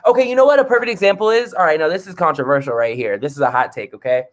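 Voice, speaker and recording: Male guest artist, fairly high voice, blunt and profane, animated. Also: very fast. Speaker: guest musician. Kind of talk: casual podcast chat.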